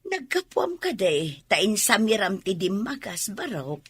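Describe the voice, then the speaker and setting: A woman's voice: medium pitch, sing-song, a little slow, a bit bright, breathy edge, exaggerated voice. A woman with a Filipino accent playing an older village auntie in a radio drama. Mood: concerned.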